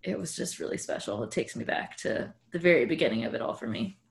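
A young woman co-hosting a casual group podcast, lively and enthusiastic, laughing easily. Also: very deep voice, quick pace, gravelly, echoey room. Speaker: podcast co-host.

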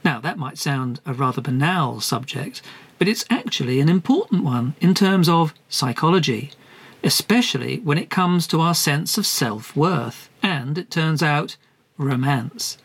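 A man hosting a scripted comedy podcast, interviewing in a dry, halting way with many fillers. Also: a little high, animated, breathy edge. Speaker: podcast host.

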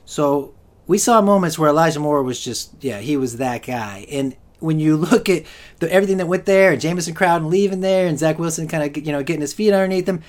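A man's voice: on the high side, brisk, a little mellow. A man hosting a solo fantasy football podcast, rambling with lots of restarts and fillers, and he is losing his voice. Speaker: podcast host.

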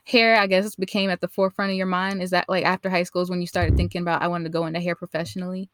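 A woman's voice: lowish voice, flat delivery, rapid-fire, background noise. A woman hosting a podcast interview, asking questions and affirming her guest often. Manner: warm encouraging listener, frequent affirmations. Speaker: podcast host. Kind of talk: casual interview.

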